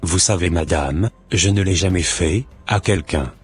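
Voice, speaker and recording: Man doing a cartoon doctor, middle-aged, preachy, deep, husky, soft background music. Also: unhurried, heavily acted. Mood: lecturing. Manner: calm, lecturing and preachy. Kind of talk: amateur cartoon voice acting.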